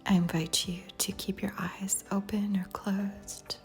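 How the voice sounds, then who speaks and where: Woman, meditation guide, hushed breathy delivery, very even tone, gravelly fry, soft music behind. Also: medium pitch. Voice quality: soft, breathy near-whisper. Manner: slow, calm, soothing, with long pauses.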